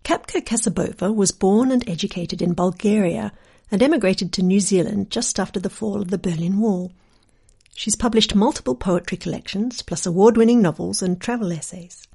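A woman who hosts the interview, reading a scripted introduction and then asking measured, articulate questions. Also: medium pitch, vocal fry, mellow, breathy edge. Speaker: interviewer and presenter.

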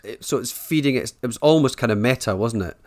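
A middle-aged male interviewer in relaxed conversation. He speaks with eager enthusiasm and laughs easily, often starting a question, trailing off and trying again. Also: medium pitch, fast.

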